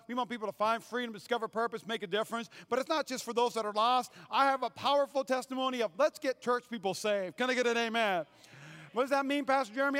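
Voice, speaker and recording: A man's voice: extremely high pitch, fairly bright tone. A pastor from North Dakota preaching a humorous sermon, playfully quizzing his congregation with call-and-response. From North Dakota, USA.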